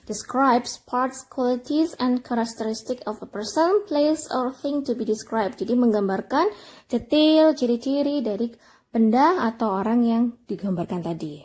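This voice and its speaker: A woman's voice: on the high side, lively intonation, moderate pace, darkish tone. A woman English teacher explaining a lesson in simple, plain steps.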